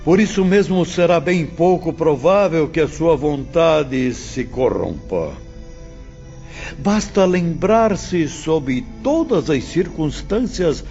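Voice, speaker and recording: A man's voice: fairly high pitch, expressive, takes it slowly, a little mellow, dull audio, faint music behind. An actor playing a protective male spirit mentor in an audio drama, ceremonious and reassuring. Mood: calm, encouraging.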